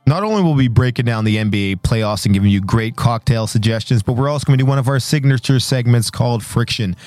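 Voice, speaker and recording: A man's voice: mid-range voice, fast talker, darkish tone, no echo. Dry-recorded male podcast host. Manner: chatty, promotional. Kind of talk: podcast outro with plugs.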